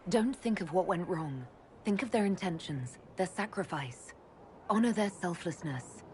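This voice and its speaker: An actress playing a steady, dry-witted heroic leader in a video game, speaking directly. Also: female voice, lowish voice, measured pace, slight background noise. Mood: consoling. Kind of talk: video game dialogue acting.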